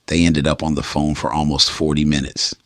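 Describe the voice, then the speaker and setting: A man narrating a late-night romance story in a slow, hushed, intimate way. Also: rumbling low, level tone, no room sound, studio quality. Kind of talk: scripted storytelling.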